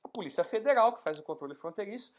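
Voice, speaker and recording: A woman teaching an exam-prep law class, explaining step by step and posing rhetorical questions with lively emphasis. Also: medium pitch, quick pace. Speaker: law instructor. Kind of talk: exam-prep legal lecture.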